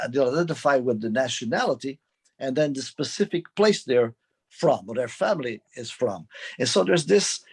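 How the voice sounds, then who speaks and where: Hoarse, husky older man on a panel. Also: on the high side. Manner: deliberate, reflective. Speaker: panelist, retired Portuguese teacher. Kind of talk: unscripted panel talk.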